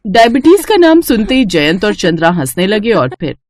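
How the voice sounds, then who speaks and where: A woman's voice: mid-range voice, sing-song, normal speed, darkish tone, no room sound. A woman narrating a scripted moral story as a storyteller.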